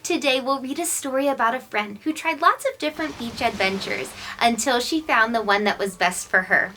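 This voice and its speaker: A woman's voice: a little high, moderate pace, pushed and bright, a little hiss or hum. A woman hosting a children's read-aloud, speaking expressively and directly to young listeners.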